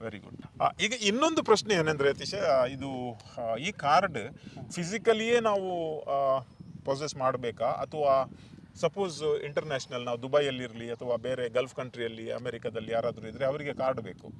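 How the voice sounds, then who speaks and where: A man's voice: mid-range voice, normal speed, slight background noise. A man hosting an interview with a coastal Karnataka accent, putting questions and summing up points for viewers. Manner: repeats and sums up answers. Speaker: talk show host.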